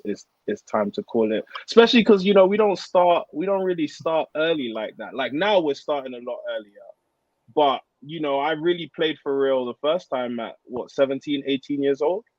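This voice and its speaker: A thirty-year-old man talks as a guest in a casual chat. His way of talking is informal, slangy and sincere, at a middle pitch. The sound is dry and a little dull, like compressed online call audio. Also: dry recording. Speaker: guest, American football player.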